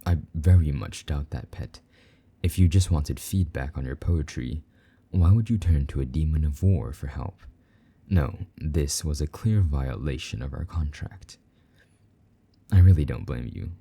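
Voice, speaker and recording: A man performs a possessive demon lover with an extremely low, very dark and mellow voice, a little husky. He teases and dotes intimately, drawing out his taunts as in an audio roleplay. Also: lively intonation, full character voice. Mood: smug, possessive. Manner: taunting, doting, intimate, drawn-out teasing. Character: possessive, teasing male demon lover with soft wings. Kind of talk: intimate scripted roleplay monologue.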